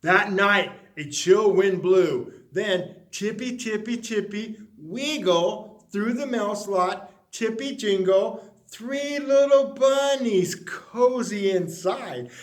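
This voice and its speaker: A man reading a children's picture book aloud, expressive and dropping to a hush on the refrains. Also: sits high, slow pace. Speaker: storyteller.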